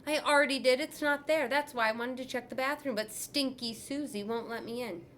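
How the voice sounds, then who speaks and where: A woman's voice: brisk, a bit bright, a little hiss or hum. A woman voicing a young boy who whines and cries in a storybook reading. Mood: upset. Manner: high whining and crying. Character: upset young boy turkey, whiny, excitable.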